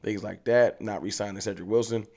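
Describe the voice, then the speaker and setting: A man's voice: lowish voice, fairly even intonation, fairly quick, warm tone. A man hosting a fan channel, ranting passionately to camera in casual speech and hammering his points home with repetition. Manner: passionate, emphatic, repeats points. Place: room with hats on the wall behind him.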